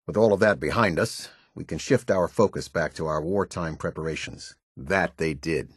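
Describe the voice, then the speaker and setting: A stern american army captain for a game, really deep husky voice, formal and commanding. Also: male voice, no room sound, clean studio sound. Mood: grave, firm. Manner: clipped, formal, measured military delivery. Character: stern, authoritative male military officer, formal and commanding. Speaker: military captain giving briefings. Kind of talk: scripted video game dialogue.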